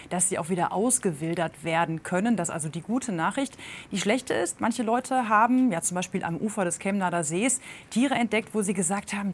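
Woman reporter, conversational, explaining, faint background noise. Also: medium pitch. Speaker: regional reporter. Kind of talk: live news report.

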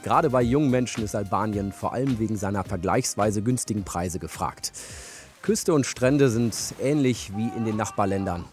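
Low-voiced man narrating over music. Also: normal speed, a little mellow. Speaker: travel reporter. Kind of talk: scripted travel documentary narration. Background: background music.

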